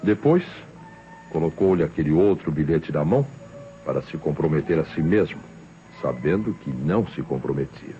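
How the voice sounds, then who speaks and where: An actor playing a relentless police inspector in an old Brazilian radio drama, speaking in a theatrical, deliberate, accusing way. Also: male voice, very deep voice, animated, mellow. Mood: accusing, triumphant. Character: shrewd, relentless male police inspector exposing a killer. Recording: dull vintage radio recording with music.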